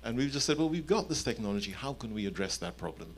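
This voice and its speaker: A man's voice: medium pitch, big pitch swings, fast talker. A man in his fifties speaking as an executive panelist, explaining concrete points at a conference into a handheld microphone. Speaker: panelist, company chief executive. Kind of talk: panel discussion talk, explaining. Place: indoor conference event on a stage.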